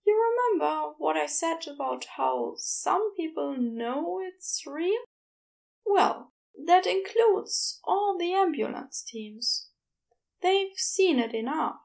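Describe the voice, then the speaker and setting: Woman voicing a gentle, high-voiced bashful man. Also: lively intonation, slow pace, gravelly, exaggerated voice. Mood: gentle, hesitant. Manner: hesitant, tender, careful phrasing. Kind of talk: audiobook character dialogue.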